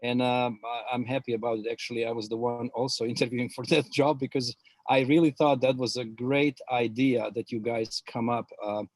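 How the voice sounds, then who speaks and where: A middle-aged man with a Croatian accent tells his background in a webinar interview, often pausing on "uh" and restarting his sentences. His voice sits at an average pitch, and the video-call sound is dry, with almost no room echo. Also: level tone. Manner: hesitant, many filler words and restarts. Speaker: guest expert.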